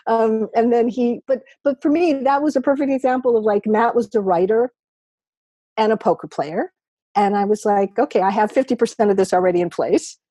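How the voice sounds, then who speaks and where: New lady in her 60s rambling on a video call, kinda high voice but mellow, dry sound. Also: no echo. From New York. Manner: chatty, rambling, laughs often. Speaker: podcast guest, poker writer. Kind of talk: casual remote interview chat.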